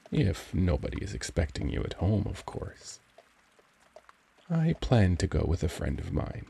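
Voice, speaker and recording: A man playing a young, charming, cultured vampire gentleman in an ASMR audio drama, speaking in a gentle, caring, genteel and formal manner. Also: sits low, gravelly, slightly rough, heavily acted. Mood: charming, inviting. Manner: genteel, formal, soft-spoken, courtly phrasing.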